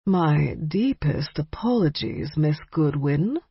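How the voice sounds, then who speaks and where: A woman's voice: sits low, lively intonation, slow, slightly rough, dry recording, dull audio, full character voice. A woman doing a sneering British vampire aristocrat. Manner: sneering, formal and disdainful.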